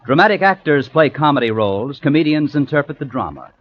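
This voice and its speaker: Animated American man announcing on old radio. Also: on the high side, fairly quick, slight background noise. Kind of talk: mid-century radio scripted narration. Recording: muffled vintage radio recording.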